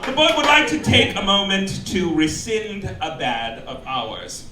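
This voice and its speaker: A high-voiced man delivers a campy stand-up monologue full of mock-official pronouncements. His pitch swoops theatrically, and he is heard from a distance in a noisy live club. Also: wildly swooping pitch, a little slow, music in the background. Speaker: comedian, show host presiding as mock chairman. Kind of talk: stand-up comedy monologue read to a live audience. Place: cabaret club stage before a laughing audience. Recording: roomy live club, distant mic, loud noise.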